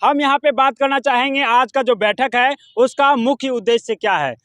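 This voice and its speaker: A man's voice: very high voice, moderate pace, fairly bright tone, no echo, studio quality. A man, reporting and interviewing on location as a field news reporter. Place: outdoor public ground.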